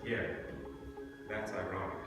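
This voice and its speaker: A man acts out a reluctant, plain-spoken young man in a film script reading. His voice sits a little low and comes from far off in a very echoey room, with loud music behind it. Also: little pitch movement, measured pace, natural voice. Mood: disbelieving. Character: reluctant young man drawn into a murder plot.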